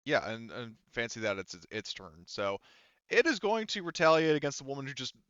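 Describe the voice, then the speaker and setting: A man's voice: medium pitch, a little fast, fairly bright tone, clear, resonant tone, no echo, highs cut off. A man running a tabletop roleplaying game as game master, hesitantly thinking aloud as he works through the rules in a casual way.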